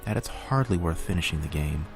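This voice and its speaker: A man's voice: low-pitched, expressive, fairly quick, gravelly. A man voicing a curious, fretful young girl in a storybook reading, lifting his voice slightly in a prim tone. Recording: soft music underneath.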